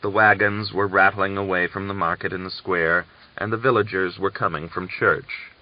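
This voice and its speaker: Low-voiced man narrating a novel. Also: fairly even intonation, normal speed, slight background noise. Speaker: audiobook narrator. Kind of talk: expressive literary narration. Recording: dull lo-fi audiobook recording.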